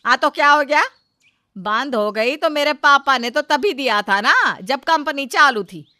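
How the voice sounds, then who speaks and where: A woman's voice: high voice, expressive, unhurried, sharp tone, no room sound, exaggerated voice. A woman playing a bossy, sentimental middle-aged mother-in-law in a comic family story, scolding with dramatic sarcasm. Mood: sarcastic, indignant.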